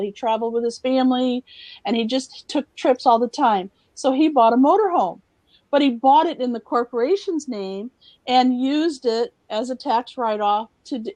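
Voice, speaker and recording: Chatty, fairly high-voiced woman, dry sound. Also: a little mellow, no echo, rough recording. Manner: chatty, quick, says "you know". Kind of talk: casual anecdote on a talk show.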